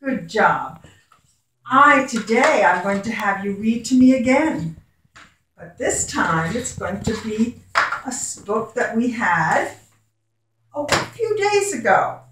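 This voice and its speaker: Slow, patient woman in an echoey room. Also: a little high, big pitch swings, noisy background. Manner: sing-song, patient, coaxing questions. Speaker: former teacher reading to young children. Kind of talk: read-aloud lesson for young children. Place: home classroom. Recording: very echoey room, voice far from mic.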